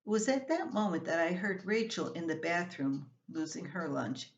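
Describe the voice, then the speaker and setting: An older woman reading a scripted, humorous family column aloud as its writer, in an expressive storytelling manner. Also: on the low side, normal speed, muffled recording. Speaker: columnist reading own writing.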